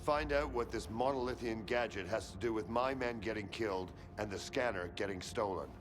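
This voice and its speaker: An actor playing a gruff, short-tempered colonel in a video game, barking orders with profane sarcasm. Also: male voice, lowish voice, fairly quick, noisy background, lightly acted. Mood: commanding, sardonic. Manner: brusque, commanding, profane, sarcastic.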